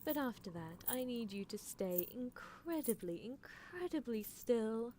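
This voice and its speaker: Woman playing a high-voiced, playful mad scientist girl. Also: expressive, unhurried, dark tone, a little hiss or hum. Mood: coolly teasing. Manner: teasing, clinical, patronizing, mock-polite threats. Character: playful, possessive young female mad scientist experimenting on captive. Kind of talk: improvised roleplay ASMR livestream.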